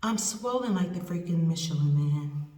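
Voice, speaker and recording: A woman's voice: sits low, lively intonation, normal speed, mellow, far from the mic, slight background noise. Blunt East Texas woman, echoey room. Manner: blunt, rambling, candid. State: swollen and sore after surgery. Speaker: vlogger recovering from surgery. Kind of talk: casual unedited video diary. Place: indoor room with a door.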